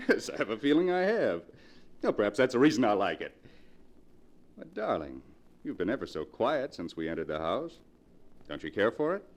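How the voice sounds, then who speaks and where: An actor playing an eager, affectionate young husband in a 1940s radio drama, with a theatrical delivery. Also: male voice, on the low side, sing-song, moderate pace, pauses often. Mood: cheerful, then tender. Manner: enthusiastic, tender, theatrical.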